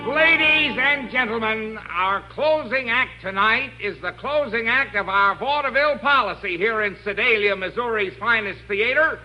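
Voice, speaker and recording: An actor playing a brash vaudeville theater announcer, projecting grandly in 1950s radio style. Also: male voice, very high voice, normal speed, hardly any pauses, pushed and bright, soft background music, full character voice, low-quality recording. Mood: showy, announcing.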